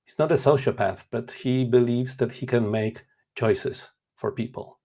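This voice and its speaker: A man in his forties from Poland, whose first language is Polish, speaks with a gravelly, creaky edge at a middling pitch. He talks earnestly and openly as a guest author in a casual interview. He is candid and a little self-deprecating. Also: steady tone, measured pace, dark tone. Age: 40s.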